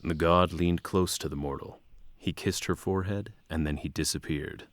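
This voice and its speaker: A man's voice: sits low, moderate pace, dry recording, clean studio sound, lightly acted. A man narrating a fantasy tale in a scripted storytelling style. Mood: measured.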